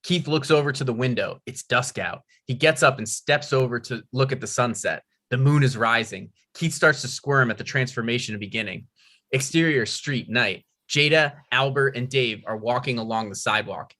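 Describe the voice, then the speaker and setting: A man's voice: mid-range voice, normal speed, pushed and bright. A man narrating stage directions, reading a screenplay aloud at a table read.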